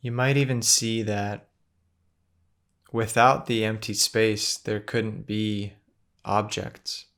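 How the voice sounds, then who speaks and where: A man leading a guided meditation in a gentle, measured manner, with long pauses between phrases. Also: lowish voice, level tone, smooth.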